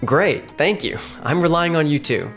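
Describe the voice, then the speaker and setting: A man playing a firm but polite sales manager in a manga dub, measured and businesslike. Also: fairly high pitch, animated, a bit bright, faint music behind. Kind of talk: manga dub acting and narration.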